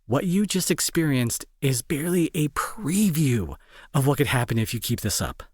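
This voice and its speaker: A man acting in an intimate audio roleplay as a gruff young bully who is secretly worried and protective, talking to the listener with sarcasm and exasperation. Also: medium pitch, big pitch swings, moderate pace, darkish tone, dry recording, studio quality. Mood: exasperated, scolding.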